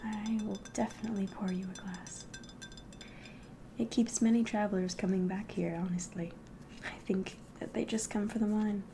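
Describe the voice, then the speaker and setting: A woman playing a friendly, curious innkeeper in an ASMR roleplay, speaking softly and politely, often slipping into a whisper. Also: medium pitch, steady tone, noisy background, lightly acted. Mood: hospitable, gently chatty. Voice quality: soft, breathy, often whispering. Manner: gentle, unhurried, polite, hospitable. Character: friendly, curious female innkeeper of a fantasy tavern.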